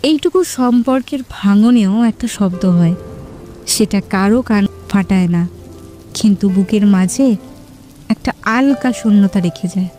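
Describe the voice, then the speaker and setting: A woman narrates an audio story with a dark, mellow voice at an average pitch. Her delivery is poetic and measured, set over clear background music.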